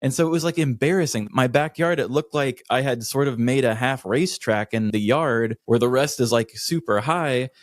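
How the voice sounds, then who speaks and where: A man's voice: mid-range voice, moderate pace, few pauses, dry recording, studio quality. An American man co-hosting a podcast, telling casual comedic stories with a dramatic, self-deprecating, complaining manner. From United States. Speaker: podcast co-host.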